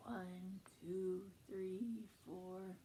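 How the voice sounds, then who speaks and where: Slow-paced woman reading a children's storybook. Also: mid-range voice, fairly even intonation, mellow, a little hiss or hum. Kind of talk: expressive picture book read-aloud.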